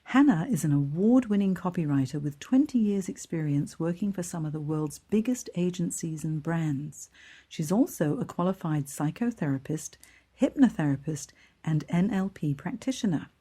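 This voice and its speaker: A woman's voice: lowish voice, lively intonation, normal speed, dark tone, slightly rough. A middle-aged woman from Hong Kong hosting a careers podcast, upbeat and enthusiastic as she interviews a guest. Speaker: podcast host, career coach. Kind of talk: scripted intro then interview chat.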